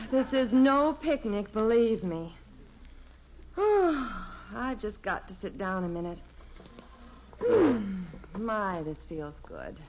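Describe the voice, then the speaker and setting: A woman's voice: mid-range voice, lively intonation, extremely slow. An actress playing a weary, wry middle-aged mother in a 1950s radio sitcom. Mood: exasperated. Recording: muffled, hissy old radio recording.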